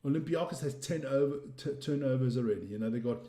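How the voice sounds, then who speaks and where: A man's voice: mid-range voice, fast, warm tone. Chatty South African man in an echoey room. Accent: South African English. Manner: rambling, chatty, reading viewer comments aloud. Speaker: livestream host. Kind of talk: casual live-stream commentary.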